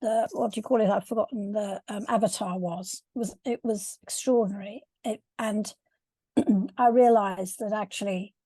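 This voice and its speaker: A woman's voice: mid-range voice, fairly even intonation, normal speed, dark tone, dry recording, rough recording. An older woman coach sharing a comment in an online discussion, hesitant and searching for words. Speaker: coach and supervisor. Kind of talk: video call discussion. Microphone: video call.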